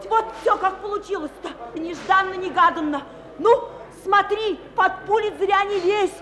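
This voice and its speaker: High-voiced, fretting, scolding aunt. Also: female voice, steady tone, moderate pace, a bit bright. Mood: worried, tender. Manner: grumbling, colloquial. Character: worried, scolding, motherly aunt. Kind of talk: Russian radio play acting. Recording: large echoing hall with crowd.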